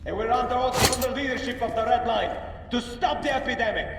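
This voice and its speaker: An actor playing a harsh, ruthless soldier in a video game, barking forcefully. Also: male voice, very high-pitched, fast, creaky, heavy background noise. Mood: ruthless, commanding. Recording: heavily echoing hall, distant, music.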